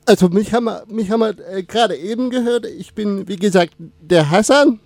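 A man in his twenties, Turkish as his first language, answering interview questions in a casual, halting way with many fillers and restarts. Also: high-pitched, gravelly, darkish tone, no echo. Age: 20s. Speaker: radio guest.

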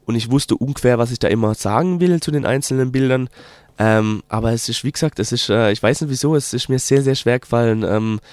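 A man with a Palatinate German accent, a documentary maker answering interview questions in a casual, halting way with lots of filler sounds. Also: mid-range voice, a little mellow, no echo. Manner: hesitant, many filler sounds, slow drawn-out phrasing. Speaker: documentary filmmaker guest.

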